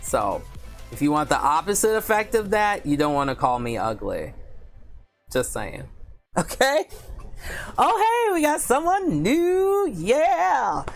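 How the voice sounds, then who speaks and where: A woman with a deep voice hosting a casual book livestream, chatting candidly with viewers and reading their comments aloud. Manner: speaks slowly with many fillers.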